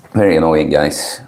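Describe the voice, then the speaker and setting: A man in his early thirties hosting a casual livestream, chatting with viewers in a chill, mellow, low-key manner. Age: early 30s. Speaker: livestream host. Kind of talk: casual chat with live stream viewers.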